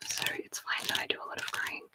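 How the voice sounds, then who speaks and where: A woman whispering into a close mic at her home desk, rambling chattily to a live stream audience. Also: fast. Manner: soft rambling whisper, chatty and self-deprecating. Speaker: ASMR streamer. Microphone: sensitive close mic.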